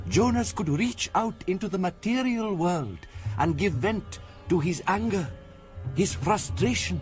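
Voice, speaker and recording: An actor playing a solemn, courteous mystic in a radio drama, speaking in a measured, formal, portentous storytelling manner. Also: male voice, high-pitched, a little mellow. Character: solemn, courteous male mystic recounting a family curse. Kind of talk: 1970s radio drama acting.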